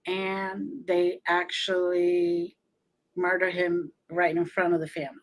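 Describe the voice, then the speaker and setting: A woman's voice: lowish voice, level tone, unhurried, poor audio. A woman, a medium, telling her story frankly and unhurriedly as a guest on an online talk show. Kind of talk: casual interview talk.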